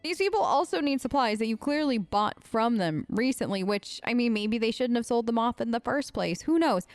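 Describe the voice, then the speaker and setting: A woman's voice: fairly high pitch, lively intonation, moderate pace, no room sound, faint music behind. A woman hosting a role-play game let's play, talking casually in a sassy, rambling way. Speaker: gaming host, role-playing her character.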